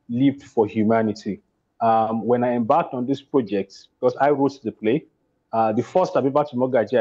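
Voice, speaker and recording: A man's voice: on the low side, mellow, slightly rough, lo-fi sound. A Nigerian man, a playwright and producer, talking over a video call, hesitant with many fillers and restarts.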